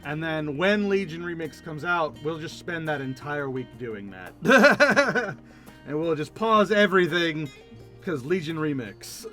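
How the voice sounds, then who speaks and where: Man with a high, lively voice streaming games. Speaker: game streamer. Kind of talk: casual livestream chat while playing.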